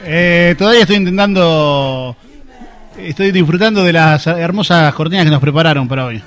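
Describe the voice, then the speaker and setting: A gruff, deadpan man, as a woman puts him on, speaking lower than her usual voice and flatly, with faint music behind. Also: moderate pace, close to an everyday voice.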